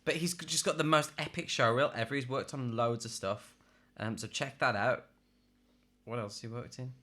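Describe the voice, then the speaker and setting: A man's voice: mid-range voice, fairly even intonation, moderate pace, pushed and bright. A man chatting casually as a podcast host, enthusiastic, informal and opinionated. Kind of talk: casual film chat among friends.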